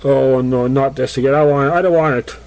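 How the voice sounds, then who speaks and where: A man's voice: mid-range voice, steady tone, a little fast, darkish tone, natural voice, rough recording. A man voicing an anxious young female pony in a story, pleading and insistently repeating protests. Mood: pleading, upset.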